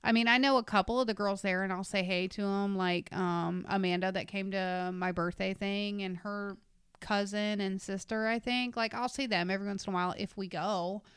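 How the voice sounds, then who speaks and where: Chatty woman in her thirties, smooth voice. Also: medium pitch, fairly even intonation, moderate pace, fairly bright tone, no echo, studio quality. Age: 30s. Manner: chatty, rambling storyteller. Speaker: podcast co-host. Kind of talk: casual chat.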